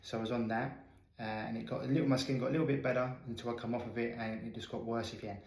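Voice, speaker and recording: A man's voice: mid-range voice, fairly even intonation, fast, darkish tone. A man in his thirties, speaking as a guest health coach, telling his own story in an earnest, candid way with many restarts. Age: 30s. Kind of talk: interview storytelling. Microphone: video call. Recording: echoey video call audio.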